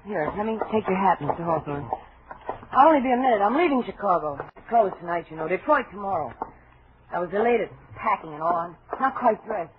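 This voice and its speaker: A woman's voice: medium pitch, animated, a little fast, lightly acted. An actress playing a brassy, slangy young vaudeville woman in 1940s radio drama style. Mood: flustered. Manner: slangy, sassy. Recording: muffled, noisy old radio recording.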